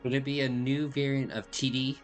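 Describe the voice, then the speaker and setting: A man's voice: medium pitch, little pitch movement, brisk. A man doing casual game playthrough commentary, hamming up dialogue in a joking, slangy way over music. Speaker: gaming streamer.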